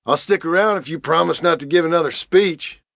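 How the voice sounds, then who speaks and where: An actor playing a cheeky, irreverent Old West gang member in a video game, ribbing others playfully. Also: male voice, fairly high pitch, a little fast, gravelly, fairly bright tone, no echo, clean studio sound. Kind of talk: video game character barks.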